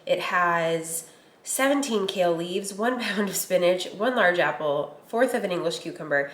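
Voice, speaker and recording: A woman's voice: on the low side, animated, normal speed. Chatty woman, slightly nasal. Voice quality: a little nasal. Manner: chatty, rambling, lots of fillers. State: recovering from a cold. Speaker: lifestyle vlogger. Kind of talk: casual chatty vlog.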